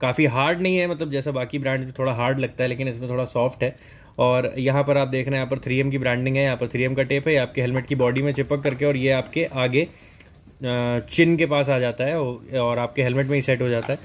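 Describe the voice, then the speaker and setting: A man's voice: mid-range voice, fairly even intonation, a little fast. A young man doing a casual, explanatory unboxing narration, mixing English words into his speech. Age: young adult. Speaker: product reviewer at a riding gear store. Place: indoors in a helmet and riding gear store. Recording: noticeable background noise, store ambience.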